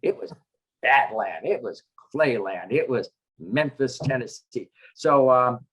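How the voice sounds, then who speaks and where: A middle-aged man, a chaplain, teaching a casual scripture class over a video call, halting with lots of filler sounds and dropping wry asides. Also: mid-range voice, animated, a bit bright, slightly rough. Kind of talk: casual online scripture lesson. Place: home with dogs in another room.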